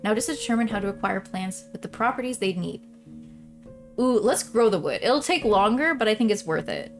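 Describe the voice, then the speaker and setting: Woman, game streamer, casual commentary, read-aloud narration, chatty and informal, mid-pitched, background music. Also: lively intonation, a little fast. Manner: chatty, informal, often trailing off mid-thought. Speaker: streamer playing and reading a visual novel.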